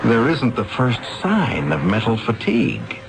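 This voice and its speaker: A man's voice: medium pitch, very animated, a little slow, creaky, heavy background noise. An actor playing an eager male metals researcher in a 1970s radio drama, earnest and persuasive. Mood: deferential.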